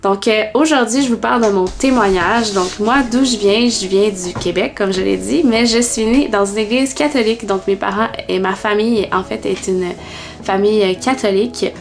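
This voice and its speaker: A woman in her twenties with a Québécois accent, telling her personal faith story in a vlog with many pauses and fillers. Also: medium pitch, creaky. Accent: Québécois French. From Quebec, Canada. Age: 20s.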